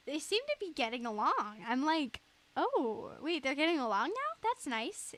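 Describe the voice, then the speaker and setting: A woman's voice: fairly high pitch, fairly bright tone. A young woman live streamer chatting casually in a giggly, rambling way.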